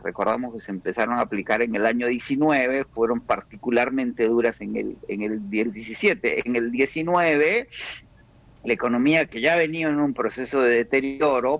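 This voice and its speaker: A Peruvian-born man speaking as an economist guest in a radio interview, explaining at a measured pace with frequent restarts. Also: medium pitch, fairly bright tone, no echo, low-quality recording. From Peru.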